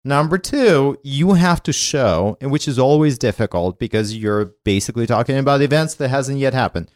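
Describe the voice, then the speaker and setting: Halting Ukrainian-born man, dry sound. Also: mid-range voice, expressive, no echo. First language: Ukrainian or Russian. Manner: halting, restarts sentences, laughs easily. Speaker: guest, startup CTO. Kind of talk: casual interview conversation.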